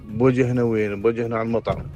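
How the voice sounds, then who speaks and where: A man's voice: medium pitch, flat delivery, racing, a little mellow, lo-fi sound, faint music behind. A man calling a radio show to tell a humorous anecdote.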